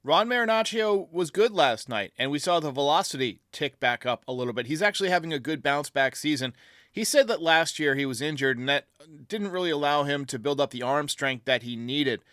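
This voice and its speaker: A male sports talk host with a bright, forceful voice pitched a little high. He gives opinionated baseball commentary in a dry, close recording. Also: animated, a little fast, dry recording.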